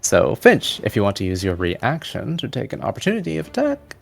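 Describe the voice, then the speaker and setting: A man's voice: mid-range voice, wildly swooping pitch, brisk, gravelly, faint music behind. A man narrating a tabletop roleplaying game as game master, in a casual, plain-spoken way.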